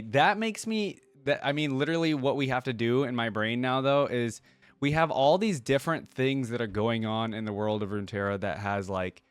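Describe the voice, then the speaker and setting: A man's voice: on the low side, clean tone, no room sound. A man hosting a reaction video, commenting casually and thinking aloud with lots of questions as he watches. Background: music from the watched video.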